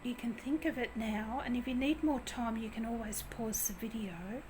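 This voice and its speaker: A woman with a naturally quiet voice giving a gentle tarot reading, talking softly with pauses. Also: on the high side, background noise. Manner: gentle, soft, slow and hesitant. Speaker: tarot reader. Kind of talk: soft-spoken tarot reading.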